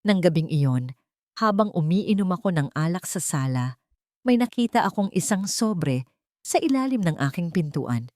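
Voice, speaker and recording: A Filipino woman narrates a dramatic first-person story in an audiobook style. Her voice sits on the low side, and the sound is very dry, with no room around it. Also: animated, moderate pace, a little mellow, no echo.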